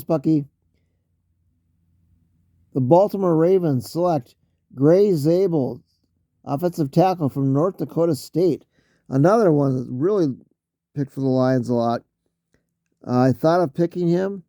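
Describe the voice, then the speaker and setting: A man losing his voice, talking casually through a solo mock draft, halting with fillers and often clearing his throat. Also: medium pitch, dark tone, dry recording, clean studio sound.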